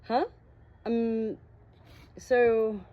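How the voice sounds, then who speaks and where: A woman's voice: a little high, flat delivery, very slow, muffled recording, a little hiss or hum, voice pushed up. A woman voicing an awkward, self-conscious teenage ghost girl in an audiobook, with hesitant, wry delivery. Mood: bewildered.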